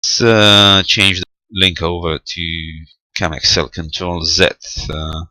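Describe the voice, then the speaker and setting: A man's voice: deep voice, little pitch movement, takes it slowly, bright, forceful tone, no room sound. A man giving an unscripted step-by-step technical demonstration, hesitant and thinking aloud as he goes. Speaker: amateur radio tutorial presenter.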